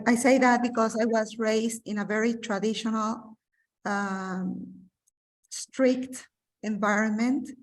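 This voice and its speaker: Female guest from Mexico, second-language speaker, animated storyteller with many fillers; distant video call sound. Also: fairly high pitch. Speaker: interview guest, businesswoman. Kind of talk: personal storytelling interview. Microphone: remote video call.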